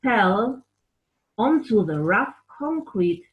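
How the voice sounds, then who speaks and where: A woman telling a children's story in an animated, explanatory way, with playful asides to a puppet. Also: medium pitch, slow, narrow, tinny audio. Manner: animated, explanatory, makes playful sound effects.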